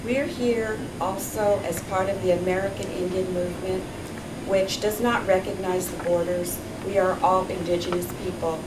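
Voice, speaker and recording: Firm lower-voiced woman, noisy hall. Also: steady tone, normal speed. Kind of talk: public forum testimony. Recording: distant echoey hall recording with loud noise.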